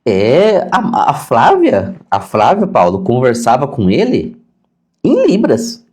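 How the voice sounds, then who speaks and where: Male teacher, Brazilian Portuguese accent, mid-range voice with lively swings, informal and didactic. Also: medium pitch, slow. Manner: didactic, repeats key points for emphasis; conversational, acts out questions to himself. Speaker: sign language teacher. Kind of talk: informal live-stream lesson answering viewer questions.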